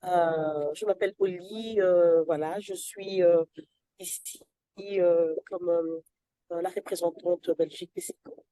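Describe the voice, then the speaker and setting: Woman, party representative, shy and mellow, hesitant. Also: fairly low pitch, fairly even intonation, unhurried, dry recording, poor audio. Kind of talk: brief self-introduction. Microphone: video call.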